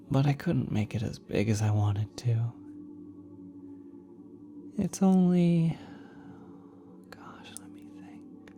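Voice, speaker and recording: A woman playing a gentle, doting ethereal cosmic being in an ASMR roleplay, speaking softly and breathily, often whispering, tender and reassuring as if to a small child. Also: a bass voice, a little hiss or hum, heavily acted.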